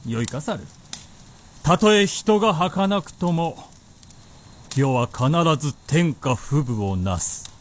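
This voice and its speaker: A man's voice: a little high, animated, slow pace, pauses often, slight background noise. A man playing an imperious, proud warlord with haughty, archaic lordly speech in a period radio drama.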